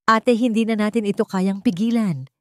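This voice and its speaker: A woman's voice: medium pitch, normal speed, dry recording, studio quality, pitched above the performer's own voice, lightly acted. Despairing, anxious kid sister played by a woman narrator, Filipino accent, audio drama, pleading delivery. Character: despairing, frightened younger sister.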